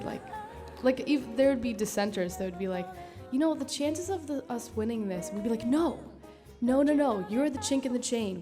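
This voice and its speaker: A woman's voice: medium pitch, big-room sound. A young woman telling an anecdote as an interview guest, informal and chatty in manner. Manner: informal, giggly, frequent "like". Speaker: interview guest, touring dancer.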